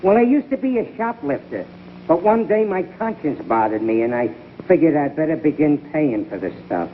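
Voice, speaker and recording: Unashamed crook, very noisy tinny recording. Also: male voice, fairly high pitch, big pitch swings, normal speed. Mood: earnest, unashamed. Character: male counterfeiter convict, cheerfully unashamed small-time crook. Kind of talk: 1950s TV comedy sketch acting.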